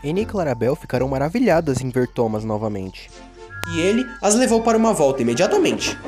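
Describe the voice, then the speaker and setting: Brazilian guy telling a kids story, really sing-song pitch, music under it. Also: sing-song, fairly quick. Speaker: narrator. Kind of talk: scripted children's story narration.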